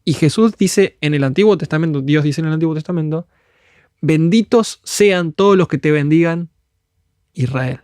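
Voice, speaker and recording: Man, Argentine Spanish accent, higher voice, earnest fervent preaching, dry close sound. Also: normal speed, a little mellow, dry recording. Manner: earnest and fervent, acts out prayers as quotes. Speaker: guest speaker. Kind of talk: conversational preaching on a podcast.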